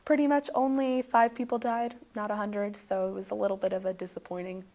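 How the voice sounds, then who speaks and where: A woman's voice: mid-range voice, level tone, a little fast, mellow. A woman hosting a video, narrating a tongue-in-cheek bedtime story in a mock-serious, dramatic way.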